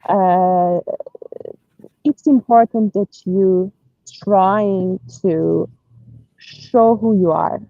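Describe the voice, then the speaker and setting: An Iranian-accented woman in her thirties talks through her answers with frequent pauses and restarts, earnest and open. Her voice sits at a middle pitch. The sound is dry and a little dull, as if from a modest mic. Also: gravelly, no echo. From Iran. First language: Persian. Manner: hesitant, many fillers and restarts, earnest. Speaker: guest. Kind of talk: conversational interview.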